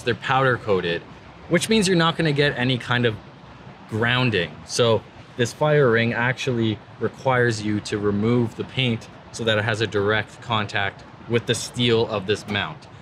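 A man's voice: medium pitch, moderate pace, fairly bright tone, slight background noise. A man, giving a laid-back, informal hands-on tutorial as a vlogger. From Canada. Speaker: vlogger presenting a vehicle install. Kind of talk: casual hands-on tutorial talk to camera. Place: in a vehicle and outdoors under an overpass.

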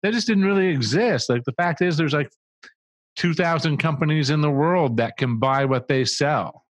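A man's voice: fairly high pitch, normal speed, dry recording. Thoughtful middle-aged man explaining ideas. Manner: thoughtful, explains with restarts and asides. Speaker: marketing agency co-founder, guest. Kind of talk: conversational interview answers. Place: small studio room with a red wall. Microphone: studio mic on a boom arm with headphones.

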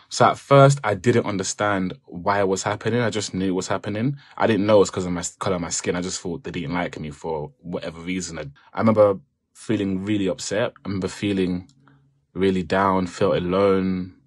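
Deep, husky man, reflective. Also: no echo, studio quality. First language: French. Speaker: interviewee. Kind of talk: reflective personal testimony.

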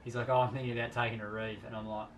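A man's voice: medium pitch, flat delivery, racing. An Australian man in his thirties telling a casual anecdote in a boat cabin, acting out bits of dialogue. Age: 30s. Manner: quotes dialogue as he recounts. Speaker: sailing vlog host. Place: small boat cabin at a marina. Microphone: camera across the table. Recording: faint background noise.

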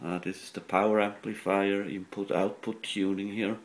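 A man who is not a native English speaker, giving a halting, matter-of-fact hands-on technical demonstration at a workbench. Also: lowish voice, little pitch movement. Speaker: radio repair hobbyist. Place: indoors at an electronics workbench.

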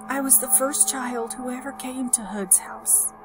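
A woman's voice: sits high, pitched above the performer's own voice, low-quality recording. Woman voicing a frail, whispering, tearful old woman. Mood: tearful, tender. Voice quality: hoarse, breathy whisper. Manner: halting, tearful, tender endearments. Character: frightened, battered old woman, tearful, gentle and tender. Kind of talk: audiobook character voice. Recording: music behind the voice.

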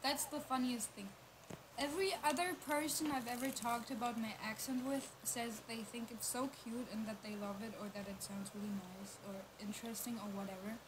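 A woman's voice: on the high side, fairly even intonation, moderate pace, noisy background. A young woman, a native German speaker, chatting casually on a livestream and laughing through her stories. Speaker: livestream co-host.

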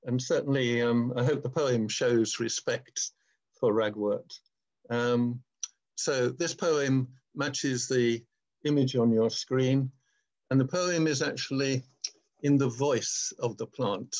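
An older man presents his poetry at an online reading. His voice is mid-pitched and he takes his time, speaking slowly and with measured care. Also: steady tone, darkish tone, poor audio. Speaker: poet. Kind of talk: introducing and reading own poem at an online poetry event.

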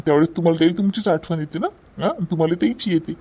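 A man's voice: fairly high pitch, very fast, dark tone, husky. A man voicing an older family patriarch in a cartoon drama, fond and folksy, preaching with rhetorical questions in a Varhadi Marathi accent. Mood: fond, moralising. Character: older patriarch father-in-law, fond, gruffly moralising.

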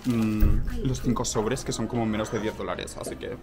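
A man's voice: fairly low pitch, flat delivery, moderate pace, music in the background. A man in his twenties with a Spain Spanish accent, chatting casually as a vlogger. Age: 20s. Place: busy restaurants, shops and a home kitchen. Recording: noisy handheld vlog recording.

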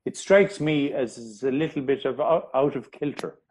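A man, a historian, telling history in an interview, pausing often with ums and restarting his sentences. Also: mid-range voice, music in the background, rough recording. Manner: hesitant, many ums and restarts. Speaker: historian guest.

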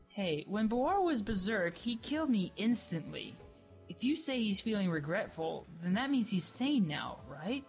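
A brash young woman with a sharp tongue voiced by a woman, speaking at a mid pitch and jumping to loud exclamations. She is snappy and sarcastic, and music plays faintly under her. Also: very animated, normal speed, vocal fry. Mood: snarky.

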